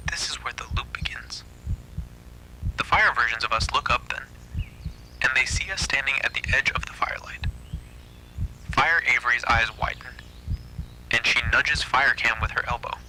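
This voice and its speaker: Male horror narrator, suspenseful and breathy, very bright tone, mid pitch, slight background noise. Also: normal speed, pauses often, rough recording. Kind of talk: scripted first-person horror narration.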